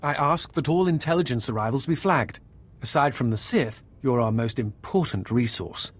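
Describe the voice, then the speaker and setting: An actor playing a deferential, efficient imperial liaison officer in a video game, formal and polite in manner. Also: male voice, medium pitch, moderate pace, slightly rough, close to an everyday voice.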